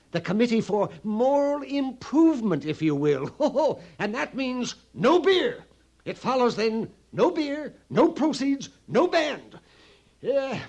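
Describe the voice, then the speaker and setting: An actor playing a mournful, fussy army sutler in an old-time radio western, speaking in florid, rambling laments. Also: male voice, high voice, a little mellow, heavily acted.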